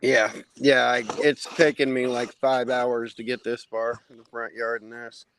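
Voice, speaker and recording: A man's voice: medium pitch, level tone, unhurried, fairly bright tone, a little hiss or hum, low-quality recording. A man chatting casually as a guest, talking from a backyard. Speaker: hangout guest. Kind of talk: casual chat. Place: outdoors in a backyard.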